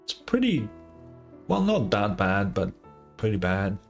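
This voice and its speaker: A man's voice: fairly low pitch, sing-song, unhurried. A man giving casual, slangy gameplay commentary as a streamer, reacting aloud somewhat hesitantly. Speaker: game streamer. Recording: game music behind the voice.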